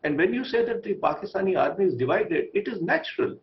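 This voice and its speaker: Male, Indian accent, panel commentary, emphatic, halting phrases, higher-than-average pitch, dull lo-fi feed. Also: lively intonation. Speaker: former ambassador as panelist. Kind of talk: live TV debate commentary.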